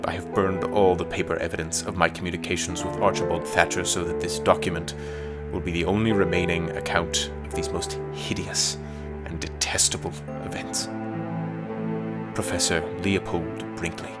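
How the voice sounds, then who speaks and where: Man acting a low-voiced, grave 1920s professor. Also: little pitch movement, over loud music, natural voice. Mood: haunted, solemn. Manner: formal, measured, old-fashioned diction. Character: grave, scholarly male professor of 1920s, investigating horrors. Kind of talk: dramatic audio play acting and narration.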